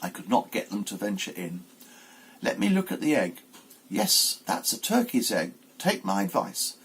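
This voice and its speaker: A man's voice: on the low side, sing-song, moderate pace, vocal fry, a bit bright, breathy edge, heavily acted. A man voicing an opinionated old female duck who gives emphatic, know-it-all advice in a storybook. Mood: knowing, insistent.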